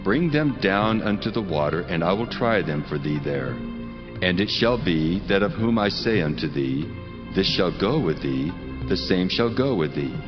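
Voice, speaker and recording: A man playing a solemn, commanding God in a dramatized scripture audiobook, speaking slowly and with authority. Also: deep voice, lively intonation. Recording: dull sound, long reverb, music behind.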